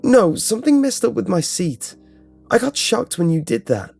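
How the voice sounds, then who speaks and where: A man's voice: on the high side, very animated, moderate pace, gravelly, mellow, soft background music, pitched above the performer's own voice, heavily acted. A man playing a frightened, trapped boy in a horror story, protesting urgently and pleading. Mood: alarmed. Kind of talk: first-person horror audiobook character dialogue.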